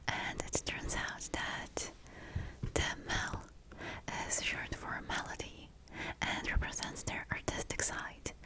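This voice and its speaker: A woman whispering throughout in a breathy, unvoiced ASMR style, narrating an unboxing with deliberate phrasing. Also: low-quality recording. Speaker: ASMR video creator presenting a product unboxing.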